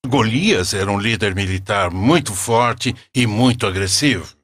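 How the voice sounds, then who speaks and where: A man playing a solemn, kindly grandfather with a Brazilian accent and husky voice, narrating religious stories reverently in a cartoon. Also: on the low side, a bit bright, slight background noise. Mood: solemn storytelling. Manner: measured, reverent. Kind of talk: scripted storytelling narration.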